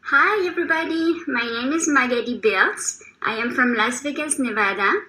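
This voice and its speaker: A woman's voice: sits high, normal speed, sharp tone, low-quality recording. A woman in her thirties from France with a French accent, earnestly telling her own health story with frequent ums and small restarts. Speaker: testimonial speaker. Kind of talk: personal testimonial.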